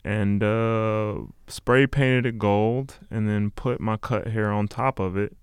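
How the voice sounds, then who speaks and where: A man in his late twenties chatting casually as an interview guest, halting and meandering as he reflects. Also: lowish voice, fairly even intonation, smooth, no echo, studio quality. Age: late 20s. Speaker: interview guest, music artist. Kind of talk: casual chat.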